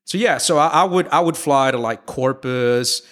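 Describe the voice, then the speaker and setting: A middle-aged man talking through his flight training in a casual interview. He explains things patiently and in a relaxed way, pausing on "uh" and "um" and restarting his sentences as he goes. His voice sits at an ordinary middle pitch. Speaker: airline captain guest.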